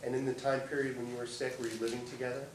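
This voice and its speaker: A man working as a trial attorney, questioning a witness politely and haltingly, with many fillers. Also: medium pitch, flat delivery. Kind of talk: direct examination of a witness. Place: courtroom. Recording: distant, roomy courtroom audio with noise.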